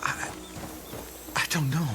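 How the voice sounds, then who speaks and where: An actor playing a bewildered, troubled spaceship crewman in a radio drama, with halting, questioning delivery. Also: noisy background, close to an everyday voice. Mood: dazed, confused. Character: anxious, bewildered male spaceship crewman, doubting and haunted. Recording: loud dramatic music behind the voice.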